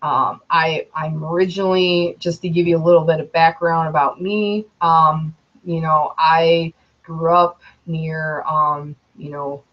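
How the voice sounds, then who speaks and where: Young woman, twenties, slow rambling vlog talk, lowish voice, dull lo-fi sound. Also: steady tone. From Metro Detroit, Michigan, USA. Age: 20s. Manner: rambling, many ums and you knows. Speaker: YouTuber.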